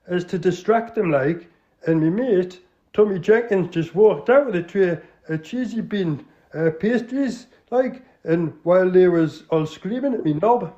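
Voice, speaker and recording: A man's voice: high voice, lively intonation, measured pace, dark tone, pitched above the performer's own voice. A man with a Geordie accent voicing a dim young male petty crook who rambles and boasts, in a dull lo-fi recording. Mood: boastful. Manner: rambling, full of "like".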